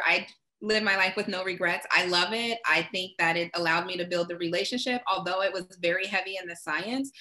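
A woman answering as a panelist over a video call, upbeat and animated. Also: mid-range voice. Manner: upbeat, gestures while speaking.

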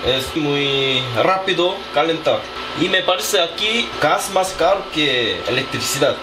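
Slow-talking man, Korean native, learner's Spanish. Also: fairly high pitch, animated, echoey room, background noise. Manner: simple learner's Spanish, unhurried and chatty. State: lingering cough. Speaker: vlogger. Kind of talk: casual vlog narration while cooking. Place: small apartment room.

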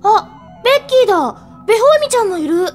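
A woman voicing an overlooked, ordinary schoolgirl, eager and easily sulky, in an anime audio drama with a girlish, expressive delivery. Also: very high-pitched, brisk, faint music behind. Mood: cheerful.

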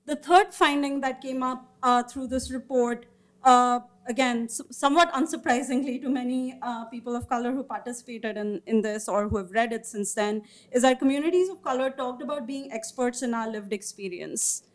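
A woman in her thirties giving a formal panel presentation as a researcher, with informal asides and hesitant pauses mid-sentence. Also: on the high side. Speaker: researcher presenting report findings. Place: indoor meeting room or auditorium, seated at a panel table. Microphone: gooseneck table microphone.

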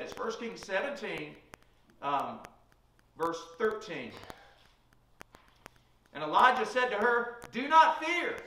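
A man's voice: high-pitched, bright, forceful tone. A middle-aged man preaching a sermon at a pulpit in a small church, retelling Bible stories. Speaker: pastor. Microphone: room mic from a far camera. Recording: echoey church from far off.

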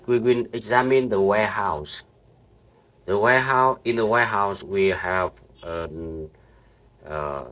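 A man with a low voice and guides viewers through a screen-recorded lesson. He talks slowly, piecing sentences together with frequent fillers and false starts. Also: sharp tone. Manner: halting, many fillers and restarts. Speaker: networking instructor. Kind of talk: screen-recorded software walkthrough.

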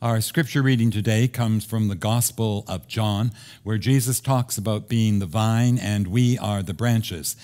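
An older man introducing the scripture reading in a worship service, calm and formal. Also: fairly low pitch, a little slow. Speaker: minister. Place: large church.

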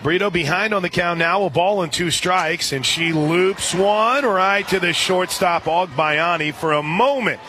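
A man calling softball play-by-play for radio in a conversational, folksy way, with asides between the calls. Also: high-pitched, measured pace, few pauses. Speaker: radio play-by-play sports announcer. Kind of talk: live softball commentary. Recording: crowd murmur behind broadcast voice. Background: outdoor stadium crowd.

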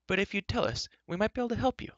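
A man voicing an outspoken, bossy young girl in a fantasy storybook, talkative and quick to scold. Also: high-pitched, fairly bright tone, no echo, muffled recording, voice pushed up. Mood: impatient.

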